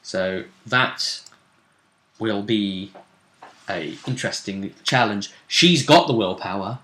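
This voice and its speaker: A man with a bright, forward voice acts as game master at a tabletop session. His delivery is casual and halting as he deliberately lays out the game for his players. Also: on the low side, echoey room.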